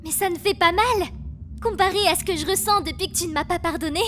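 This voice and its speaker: A woman voicing a gentle, fragile, lovesick prisoner in her twenties, sugary and airy, in a French anime fan dub. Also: extremely high pitch, fast talker, faint music behind. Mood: wounded, lovesick. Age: 20s.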